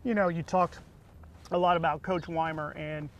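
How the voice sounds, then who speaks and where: A man's voice: on the high side, flat delivery, moderate pace, muffled recording, a little hiss or hum. An American man speaking as the host of a conversational interview, putting questions to his guest.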